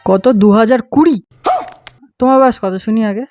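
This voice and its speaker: A man's voice: very high-pitched, brisk, a little mellow, no echo, faint music behind. A man with an Indian Bengali accent chatting casually on a prank call, teasing and often repeating himself. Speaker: prank caller, video host. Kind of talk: casual phone chat. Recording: muffled, faintly noisy call recording.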